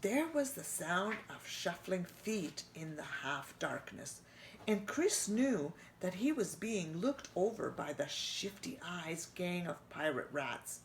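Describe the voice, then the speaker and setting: A woman's voice: lowish voice, slow pace, a little hiss or hum. A woman reading a children's storybook aloud, stopping to ask her young listeners questions. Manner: expressive, asks listeners questions. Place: church.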